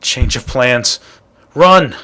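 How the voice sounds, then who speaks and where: A man's voice: mid-range voice, expressive, drawn-out words, pushed and bright, exaggerated voice. An actor playing an articulate man in a space adventure video game, speaking in an explanatory manner. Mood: urgent.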